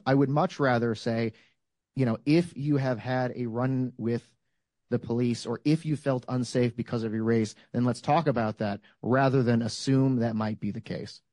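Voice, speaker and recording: A man in his thirties talks through an argument on a panel, holding a handheld mic in a lecture room. His voice sits at a middle pitch. The sound is close and dry. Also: mellow, no room sound. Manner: thoughtful, builds arguments with examples. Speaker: panel speaker. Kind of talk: panel discussion. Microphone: handheld microphone.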